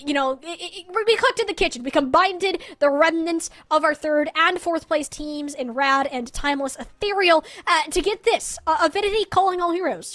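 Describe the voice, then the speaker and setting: Casting: female esports commentator, high voice, conversational and analytical live match calls. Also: fairly bright tone.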